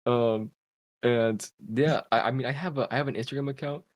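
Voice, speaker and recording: A man's voice: mid-range voice, a little fast, no room sound. A man in his twenties, a podcast guest, telling a long story in a loose, rambling way full of "like". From Cuba, raised in Pennsylvania. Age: 20s. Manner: rambling, heavy use of "like". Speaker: podcast guest, content creator. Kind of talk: casual storytelling. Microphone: podcast call mic.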